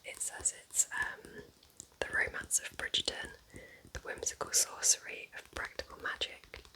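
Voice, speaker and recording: A British woman whispering softly in an unhurried, chatty ASMR style, gently rambling as a host. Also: low-quality recording. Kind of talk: whispered ASMR, gentle roleplay book chat.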